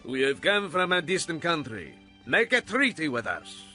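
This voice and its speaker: A man's voice: a little high, sing-song, moderate pace, bright, forceful tone, faint music behind. An actor playing a resolute ancient spokesman, declaiming in a dramatized audio Bible. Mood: earnest.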